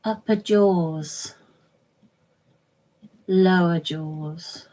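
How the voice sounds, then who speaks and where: A woman leading a guided relaxation as a yoga nidra instructor, speaking gently and soothingly in short, measured phrases with long pauses. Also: on the low side, low-quality recording.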